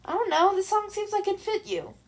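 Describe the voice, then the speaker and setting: A woman plays an eager young man from an audio story, pitched high. He is cheeky and stubborn, pushing on persistently. A nervous streak sits under the teasing. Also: fairly quick. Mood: cheeky, hopeful.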